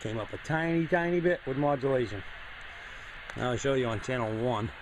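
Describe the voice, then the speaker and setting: A man's voice: medium pitch, animated, brisk, noisy background. A man giving a casual hands-on demonstration to camera, plain-spoken and thinking aloud as he works. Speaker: host of a hobby radio video.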